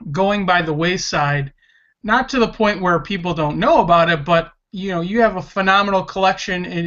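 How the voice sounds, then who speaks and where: A man's voice: high-pitched, pushed and bright. A man of thirty-two hosting a casual podcast, speaking with enthusiasm and frequent restarts. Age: 30s. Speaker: podcast host. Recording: dull lo-fi sound.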